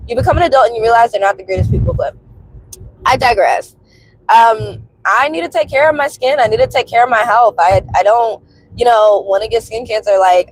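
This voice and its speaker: A woman's voice: mid-range voice, a little hiss or hum, low-quality recording. A woman in her twenties chatting casually and candidly to her viewers in an emphatic, colloquial way, sounding stuffy from a cold. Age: 20s. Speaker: host of a personal commentary vlog. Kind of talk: casual, confessional chat to viewers.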